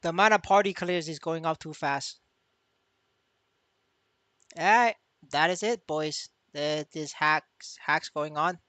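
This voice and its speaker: A man's voice: a little high, slow, pauses often, sharp tone, smooth, dry recording. A man livestreaming as a gamer in casual chat, stammering, repeating his words and swearing freely. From Vietnamese. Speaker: game streamer.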